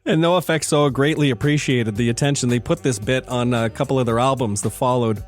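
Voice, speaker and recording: A man's voice: medium pitch, a little fast, faint music behind. Male radio co-host, mid-range voice, joking and conversational, speaking in everyday language. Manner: joking, colloquial. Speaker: radio morning show co-host. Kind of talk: casual on-air chat. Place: radio studio.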